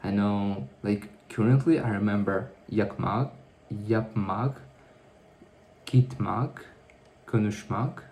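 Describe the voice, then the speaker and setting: Gravelly low-voiced man in an echoey room. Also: slow, pauses often, darkish tone, slight background noise. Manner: rambling, self-correcting, thinking aloud. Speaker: vlogger. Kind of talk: casual diary-style monologue.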